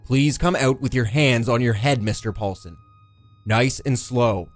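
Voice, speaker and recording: A man's voice: mid-range voice, moderate pace, faint music behind, close to an everyday voice. A man voicing a rigid police constable in an audiobook, barking commands in a stiff, mechanical manner. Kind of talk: dramatised audiobook character.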